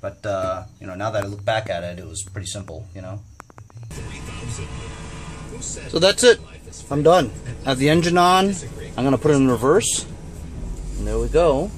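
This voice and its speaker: A man's voice: medium pitch, animated, normal speed, pauses often. A man giving a casual, off-the-cuff gadget review in a laid-back, colloquial way, as a vlogger talking inside a van.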